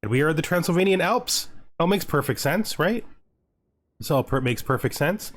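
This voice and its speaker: A man's voice: a little high, animated, moderate pace. Chatty man hosting a game playthrough. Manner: chatty, rambling, checks in with "right?". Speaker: gaming video host. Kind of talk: casual let's play commentary. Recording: music behind the voice.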